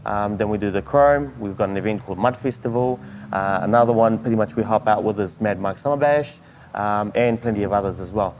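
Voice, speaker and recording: A man with a New Zealand accent, an event organizer, answering interview questions in an earnest, halting way with many fillers. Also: on the low side, darkish tone, slight background noise. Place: outdoors at a motorsport event.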